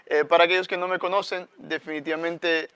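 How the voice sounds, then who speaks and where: Salvadoran friar, smooth and dry. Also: male voice, a little high, level tone, brisk, dry recording. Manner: warm, earnest, explanatory with frequent "eh" fillers. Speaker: guest friar, parish priest. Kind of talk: conversational religious talk.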